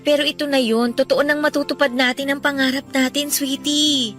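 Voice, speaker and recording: Casting: heartfelt Filipina bride-to-be, very high bright voice, radio melodrama over soft music. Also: female voice, little pitch movement, fairly quick. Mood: grateful, tender. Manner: tender, heartfelt, emotional. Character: devoted bride-to-be and mother, tender, pleading. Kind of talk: Filipino radio drama acting.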